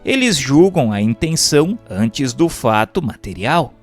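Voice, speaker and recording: A man narrates a book in a formal, didactic way. His delivery is measured and scripted, at an average male pitch, with music playing behind him. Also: big pitch swings. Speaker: audiobook narrator. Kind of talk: scripted narration, reading a religious book aloud.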